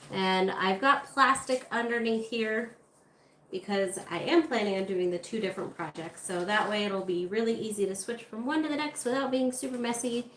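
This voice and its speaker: A woman hosting a casual art livestream, chatting with viewers as she works, her voice a little congested. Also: medium pitch. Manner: chatty, talks to viewers while working. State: slightly congested. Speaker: art channel host. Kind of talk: casual livestream chat while crafting. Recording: roomy with faint room noise.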